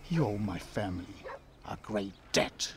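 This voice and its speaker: A proud, embittered old man in a video game. His contempt comes through in a creaky, very animated delivery, with faint noise in the background. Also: lowish voice. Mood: accusing. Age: older. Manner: deliberate, contemptuous. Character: proud, bitter, scornful old man defending his family.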